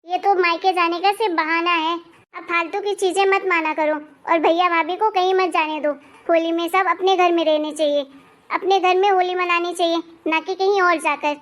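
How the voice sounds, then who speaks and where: A woman voicing an irritable married daughter in an animated family story, sharp, bossy and sarcastic. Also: very high-pitched, moderate pace. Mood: bossy, complaining. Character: irritable, bossy married daughter visiting her parents' home.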